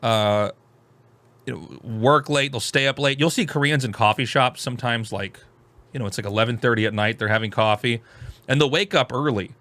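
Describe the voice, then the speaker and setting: An American man hosting, reacting to a video in casual commentary, animated and full of restarts. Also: mid-range voice, brisk, no echo. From United States. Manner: animated, restarts often, exclaims loudly.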